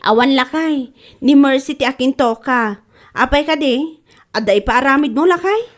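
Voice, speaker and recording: A woman's voice: high voice, normal speed, close to an everyday voice. A woman playing a devoted, hardworking older wife in a radio comedy-drama. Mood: reassuring.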